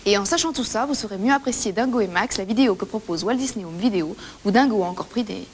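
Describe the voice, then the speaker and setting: A woman's voice: medium pitch, fast talker, slightly rough. A woman co-hosting a magazine show, delivering scripted lines playfully. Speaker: TV co-host. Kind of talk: scripted light magazine presentation in tandem. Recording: hissy background noise.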